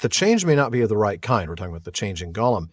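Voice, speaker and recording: A man's voice: on the low side, sing-song, very fast, dry recording. A man co-hosting a podcast, discussing books casually in an agreeable, thoughtful, conversational manner. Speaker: podcast co-host.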